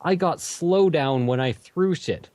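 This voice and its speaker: A man's voice: a little high, a little slow, darkish tone, dry recording. A man from Canada with a slight Canadian accent, hosting a casual gaming podcast in a blunt, profane way. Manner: blunt, swears a lot, laughs between lines.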